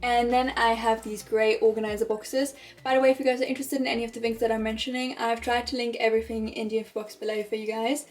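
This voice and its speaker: A woman's voice: a little high, flat delivery, quick pace, hardly any pauses. A young woman with German as her first language, casually showing her dorm room and often doubling words for emphasis. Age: young adult. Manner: chatty, repeats words for emphasis ("very, very"). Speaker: vlogger giving a dorm room tour. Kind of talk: casual tour narration.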